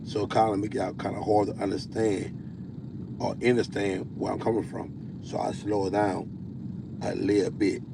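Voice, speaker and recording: A man with a deep voice preaching on a livestream. He reads scripture slowly, stumbling and repeating words. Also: little pitch movement, gravelly, background noise. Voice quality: self-described deep voice. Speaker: self-styled prophet hosting a livestream.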